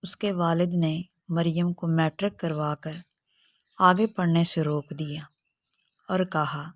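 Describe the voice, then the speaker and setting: A woman's voice: lowish voice, steady tone, slow, dry recording, studio quality. A woman storyteller reading scripted narration for a children's moral story.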